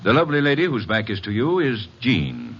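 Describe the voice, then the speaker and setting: An actor playing a courteous but coldly menacing crime boss in an old radio detective drama, speaking formally and with measured threat. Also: male voice, fairly low pitch, wildly swooping pitch, a bit bright, slightly rough, heavily acted. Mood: polite menace. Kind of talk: 1940s-50s radio detective acting. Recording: muffled, noisy old radio recording.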